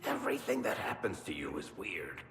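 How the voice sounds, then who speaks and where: Roguish wisecracking game companion, real deep voice, noisy background. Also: male voice, fairly quick. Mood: wry, teasing. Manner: sardonic, joking, animated. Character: wry, roguish, quick-witted storyteller companion. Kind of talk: dramatic video game acting. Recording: echoing hall with background noise.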